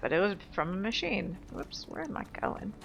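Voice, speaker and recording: A woman's voice: mid-range voice, very animated, normal speed, gravelly, highs cut off, soft background music. A woman hosting a let's play with casual, rambling, self-deprecating game commentary. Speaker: gamer, let's play host.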